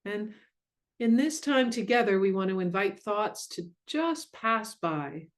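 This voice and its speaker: A woman leading a guided meditation in a gentle, soothing, unhurried way with long pauses. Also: medium pitch, expressive. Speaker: meditation guide and mindfulness coach.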